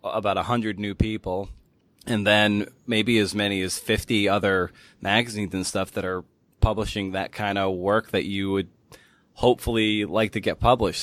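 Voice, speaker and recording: A man's voice: fairly low pitch, a bit bright, dry recording. A man working as a podcast host, moving between scripted introduction and casual interview talk.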